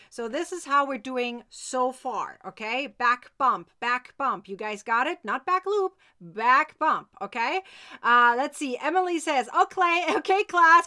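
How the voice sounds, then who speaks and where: The bright, very high voice of a woman you would hear on a crafting livestream, chattily walking followers through each step and insisting they keep up. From Germany. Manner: chatty, insistent, often checking with "okay?". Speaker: crochet tutorial host. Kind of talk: live step-by-step craft tutorial.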